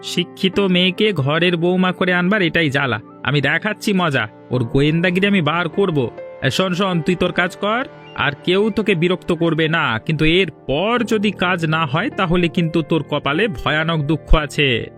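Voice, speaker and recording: Man acting a high-pitched domineering old patriarch. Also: normal speed, fairly bright tone, faint music behind, natural voice. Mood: stern, menacing. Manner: commanding, scolding, threatening. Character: domineering scheming older village patriarch, father-in-law. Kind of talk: cartoon drama acting.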